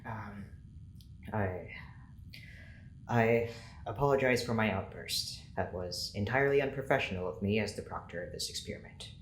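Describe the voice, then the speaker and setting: A man's voice: on the low side, moderate pace, pauses often, fairly bright tone, lightly acted. An actor playing a stern male scientist who runs an experiment, speaking formally and clinically in an audio drama. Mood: stiffly apologetic. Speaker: experiment proctor. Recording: roomy echo, faint noise.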